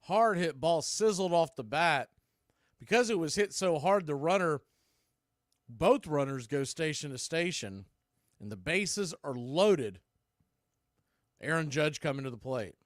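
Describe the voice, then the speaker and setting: An American man doing live baseball play-by-play in clipped, punchy calls with pauses, mixed with casual fan chat. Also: a little high, lively intonation, dry recording, clean studio sound.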